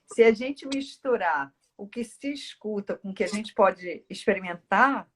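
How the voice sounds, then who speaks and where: A Brazilian woman talks freely and at length, explaining things in a casual conversational way. Her pitch sits in the middle for a woman. There is some faint noise and clicking behind her voice. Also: lively intonation, measured pace. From Northeast Brazil. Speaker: speech therapist, interview guest.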